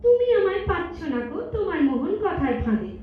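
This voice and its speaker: Woman acting sharp-tongued maiden in love, rhymed stage drama, teasing sing-song, high animated voice, echoey hall. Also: brisk, a little hiss or hum. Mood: wounded, reproachful. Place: large multipurpose hall, on stage. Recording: echoey hall, distant mic, dull sound.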